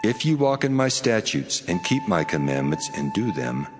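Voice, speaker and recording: Male actor as a solemn, commanding deity for audio scripture; low voice, long reverb, faint music. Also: very animated, moderate pace. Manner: solemn, authoritative, declarative. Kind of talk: dramatized scripture reading.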